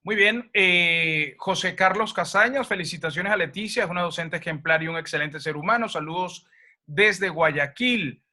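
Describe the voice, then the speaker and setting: A man with a Venezuelan accent hosting a webinar, reading out audience comments in a halting, conversational way. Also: high-pitched, bright, forceful tone. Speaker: webinar host.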